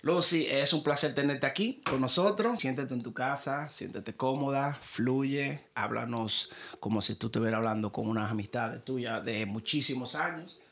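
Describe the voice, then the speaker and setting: Chatty guy hosting, gravelly voice, says everything twice. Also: medium pitch, moderate pace, a bit bright. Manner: chatty, repeats words often. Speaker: podcast host. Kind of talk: casual interview chat.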